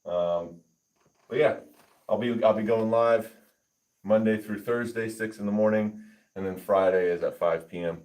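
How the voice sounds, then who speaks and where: A man livestreaming his lifting session, chatting casually and haltingly with viewers and reading their comments aloud. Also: fairly low pitch, fairly even intonation, darkish tone. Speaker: fitness livestreamer. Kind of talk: casual livestream chat while working out.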